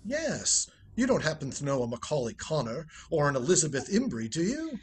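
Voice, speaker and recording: A man's voice: fairly high pitch, wildly swooping pitch, a little fast, slightly rough, heavily acted. A man playing a suave, roguish ex-husband in radio comedy style, breezy, wry and needling, on a home recording. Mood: breezy, teasing. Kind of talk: 1940s radio comedy acting.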